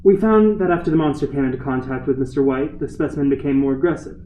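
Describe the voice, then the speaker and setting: A man's voice: medium pitch, fast, warm tone, reverberant, slight background noise, close to an everyday voice, low-quality recording. A man playing a ruthless, domineering scientist in an amateur radio play, speaking in a stern, commanding way. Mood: cold, firm. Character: ruthless, domineering male military scientist, cold and obsessive.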